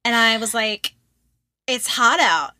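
Animated, bright, high-voiced woman in casual chat. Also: takes it slowly, no room sound. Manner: expressive, reactive, quotes dialogue. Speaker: podcast co-host. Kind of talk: casual chat, personal storytelling.

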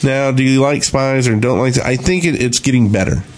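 A man's voice: medium pitch, moderate pace. A man chatting casually as co-host of a gaming podcast.

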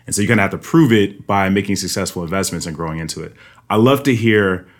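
A man hosting a podcast interview, chatting casually and filling pauses with um, uh and you know. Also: low voice. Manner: rambling, many fillers and restarts. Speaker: podcast host.

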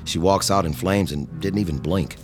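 A man's voice: low voice, moderate pace, lightly acted. An actor playing a male police officer who briefs his partner in a clipped, matter-of-fact way. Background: music.

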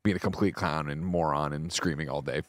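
A man hosting a video game news show, his voice roughened from two nights of screaming, talking with big emphatic hype. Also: a bass voice, a little fast, dry recording, studio quality. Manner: animated, emphatic hype delivery. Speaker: host. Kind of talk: energetic podcast show hosting.

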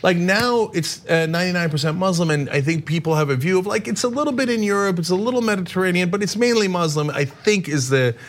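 A man who grew up in Turkey and New Jersey, hosting a podcast and telling stories in a lively way, acting out voices and laughing often. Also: sits high, fast talker, few pauses. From Turkey, raised in New Jersey. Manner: animated storyteller, acts out quoted voices, laughs often. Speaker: podcast host. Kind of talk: casual chat.

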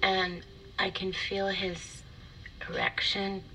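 An actress playing a wistful woman in an intimate audio drama monologue, confessional and halting, with a breathy delivery. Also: mid-range voice, little pitch movement, heavy background noise. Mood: intimate, tender. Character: wistful, intimate divorced woman confiding a fantasy.

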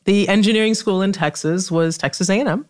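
A middle-aged woman from Trinidad whose speech carries a careful, studied American accent. She sounds polished and measured, and she laughs easily as she chats with a friend on a podcast. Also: medium pitch, darkish tone. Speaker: guest author. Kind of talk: casual podcast conversation.

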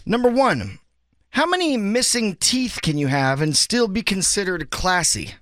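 A man's voice: sits high, big pitch swings, a little slow, a bit bright, no echo, studio quality. A man hosting a casual radio game, reading out quiz questions. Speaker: radio show host, quizmaster. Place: dry radio studio.

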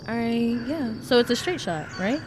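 An actress playing an anxious, sassy young woman on a hike, speaking in slangy, expressive audio drama style. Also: fairly high pitch, moderate pace, background noise, natural voice. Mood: teasing, eager. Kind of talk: naturalistic audio drama acting. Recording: insect and outdoor ambience behind.

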